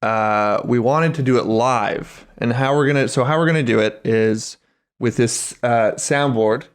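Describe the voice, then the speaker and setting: Casual, mid-pitched man co-hosting. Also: a little slow, clean tone. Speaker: podcast co-host. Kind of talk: casual chat.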